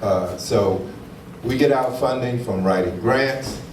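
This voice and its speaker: A man's voice: medium pitch, slow, a little mellow, a little hiss or hum. A man from a nonprofit answering questions at a town hall meeting in an emphatic, earnest way. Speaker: nonprofit representative. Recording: echoey room from far off.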